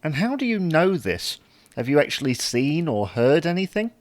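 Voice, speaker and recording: A mild, baffled husband played by a man in a domestic audio comedy. He is wry and resigned, and his pitch moves about animatedly. The recording is close and dry. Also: very animated, moderate pace, no room sound. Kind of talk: domestic audio sitcom acting.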